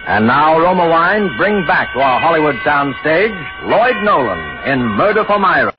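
A man announcing a 1940s radio program in a formal, dramatic and measured style. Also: on the high side, big pitch swings. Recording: muffled 1940s radio recording. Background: loud dramatic music.

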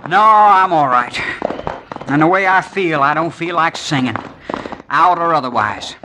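An actor playing an earnest young prospector with a shoulder wound in a 1950s radio drama. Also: male voice, a little high, expressive, unhurried, background noise.

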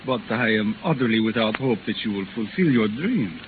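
An actor playing a discouraged, deferential priest in a hissy 1930s radio drama. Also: male voice, lowish voice, animated, normal speed, narrow, tinny audio, lightly acted. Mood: doubtful, despairing. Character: doubtful, discouraged priest, deferential to his bishop.

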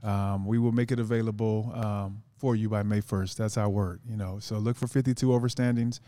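A man's voice: lowish voice, steady tone, a little fast, dark tone, no echo. A man guest on a casual podcast, talking earnestly in long rambling sentences with many restarts.

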